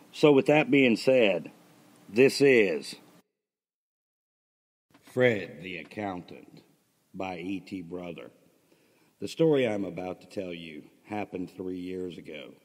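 A man's voice: deep voice, animated, slow pace, long pauses. A man introducing and reading his own stories as the host, with narration in a storytelling style. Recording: long reverberant hall-like echo.

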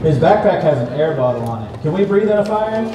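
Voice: medium pitch, big pitch swings, quick pace, muffled recording. A presenter of a children's fire safety puppet show, explaining things simply and asking the audience questions. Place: large indoor mall, heard from far off. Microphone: far camera. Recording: distant echoey mall hall, noisy crowd.

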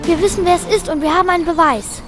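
Woman as high-voiced eager boy sidekick. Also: fast, music in the background. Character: easygoing, eager young boy detective sidekick.

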